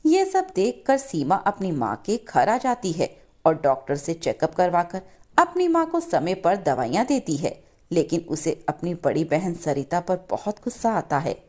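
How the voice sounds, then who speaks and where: A woman's voice: on the low side, big pitch swings, unhurried, warm tone. A woman narrating a scripted moral story as a storyteller.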